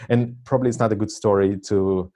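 A man's voice: on the low side, steady tone, normal speed, warm tone. Hesitant Russian-accented man in his forties. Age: 40s. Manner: hesitant, frequent fillers, laughs often. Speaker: interview guest. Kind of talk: casual conversation.

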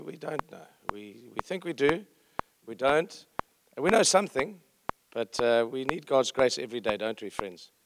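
A man's voice: medium pitch, noisy background. A middle-aged man with a South African accent speaking informally to a congregation as a visiting pastor, conversational, humorous and self-deprecating. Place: church service, speaking to congregation.

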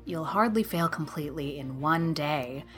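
A woman's voice: low voice, expressive, normal speed, soft background music. A woman voicing a practical older woman who speaks plainly and matter-of-factly.

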